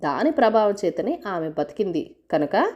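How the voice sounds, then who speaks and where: A woman's voice: mid-range voice, very animated, moderate pace, darkish tone, dull audio, natural voice. A woman voicing an insistent young male suitor arguing his claim in a storybook tale.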